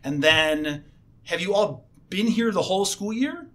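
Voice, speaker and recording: Halting high-voiced male radio host. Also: lively intonation, a bit bright. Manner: halting, many fillers and restarts. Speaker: host, assistant principal. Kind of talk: casual radio interview. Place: school radio studio.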